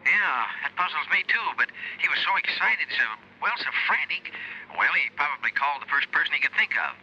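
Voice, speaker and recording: A man's voice: a little high, sing-song, brisk, pushed and bright, slightly rough, heavily acted. An actor in a 1950s radio drama playing a friendly, curious night-desk newsman, chatty and informal. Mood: curious, apologetic. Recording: dull vintage radio recording, telephone effect.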